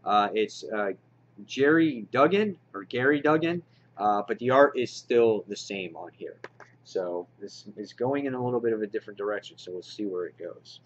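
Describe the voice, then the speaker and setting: A man's voice: on the low side, lively intonation, normal speed, a little hiss or hum. A man hosting a casual, unscripted review vlog, talking to his viewers with an enthusiastic fan's manner. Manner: enthusiastic fan talk, addresses viewers directly. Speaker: comic book reviewer, channel host. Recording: dull, lo-fi home recording.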